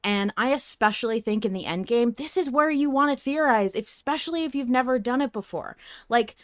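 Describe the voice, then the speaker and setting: A woman's voice: fairly high pitch, lively intonation, a little fast. A woman co-hosting a podcast, speaking informally and emphatically in a casual fan discussion. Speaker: podcast co-host.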